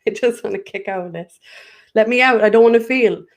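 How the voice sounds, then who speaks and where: A woman's voice: on the high side, a little fast. A woman with an Irish accent, a guest in a spiritual conversation, speaking earnestly and often breaking off to restart her thought.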